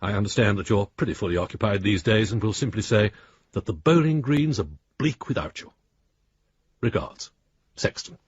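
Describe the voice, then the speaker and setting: An actor playing a wry, wistful friend reading out his letter in a radio drama, husky and understated, with gentle irony. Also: fairly low pitch, animated, normal speed, no echo, dull audio. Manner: dry, understated, gently ironic.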